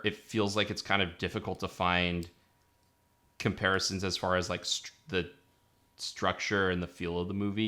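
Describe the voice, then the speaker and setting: A man's voice: sits low, fairly bright tone. A man co-hosting a casual movie review podcast, rambling and restarting his sentences, laughing often. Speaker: podcast co-host.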